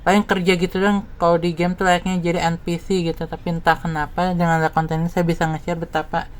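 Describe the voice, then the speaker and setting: A man's voice: a little high, level tone, quick pace. A man from West Java giving a casual, rambling, informal personal monologue as a content creator. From West Java, Indonesia.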